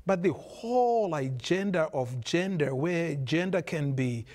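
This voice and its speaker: Male guest author from Zimbabwe, high pitch, earnest lecturing tone with stumbles. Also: lively intonation, a little slow, darkish tone. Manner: earnest, emphatic, lecturing, stumbles and repeats words. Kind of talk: studio talk show discussion.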